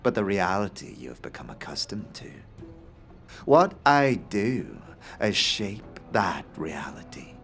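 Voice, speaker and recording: Eccentric male inventor, played by a man, fantasy audio drama: slow, cryptic, grand delivery with big swings in pitch, faint music beneath. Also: big pitch swings, gravelly, fairly bright tone, exaggerated voice. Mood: boastful, intense. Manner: theatrical, grandiose, dramatic pauses.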